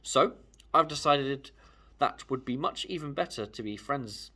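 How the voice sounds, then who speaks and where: Male read-aloud narrator, lively pitch movement, slightly rough voice, emphatic first-person storytelling. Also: a little slow. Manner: animated, emphatic. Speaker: storyteller reading a children's book aloud.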